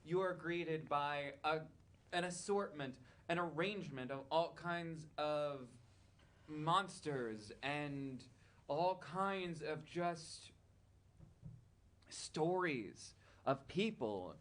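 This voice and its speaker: Man, game master, unhurried pace, higher-than-average pitch, improvised fantasy narration, halting, casual. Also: a bit bright. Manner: halting delivery with casual asides.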